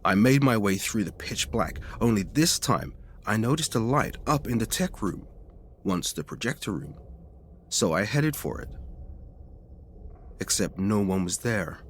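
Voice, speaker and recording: Male reader for scripted spooky narration, dramatic and lively in pitch, slight background noise. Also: unhurried. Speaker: story reader. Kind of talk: scripted first-person ghost story narration.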